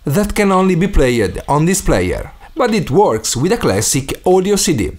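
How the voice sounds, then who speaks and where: A man's voice: a little high, big pitch swings, normal speed, a little hiss or hum. A man from Italy with an Italian accent and non-native English phrasing, narrating a scripted DIY tutorial as the host. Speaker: DIY video maker and host.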